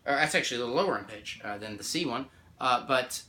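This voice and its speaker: A man's voice: mid-range voice, lively intonation, fast, pushed and bright. A man giving a casual music theory lesson. He often checks in with "right?" and restarts or corrects himself while counting out notes. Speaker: music teacher. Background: guitar playing along.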